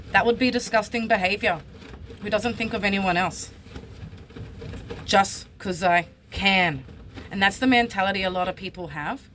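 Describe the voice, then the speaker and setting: A woman's voice: mid-range voice, fairly bright tone, slight background noise. A woman, talking bluntly and with frustration in a personal vlog. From Australia. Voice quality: husky. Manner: blunt, frustrated, swears freely. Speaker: vlogger.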